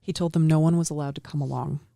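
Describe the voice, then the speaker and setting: Gravelly-voiced woman telling a story. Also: sits low, fairly even intonation, quick pace, warm tone, dry recording. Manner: apologetic, self-deprecating. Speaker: guest storyteller. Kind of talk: casual podcast storytelling.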